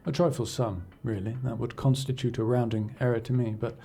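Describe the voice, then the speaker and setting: A man playing a cool, imperious scholar-agent in a fantasy audio roleplay, speaking in a formal, measured, dryly condescending way. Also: mid-range voice, steady tone, vocal fry, mellow, faint music behind, close to an everyday voice. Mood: probing, calculating. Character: cool, imperious male scholar-agent serving an arcane archivist patron. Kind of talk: scripted audio roleplay acting, addressing the listener.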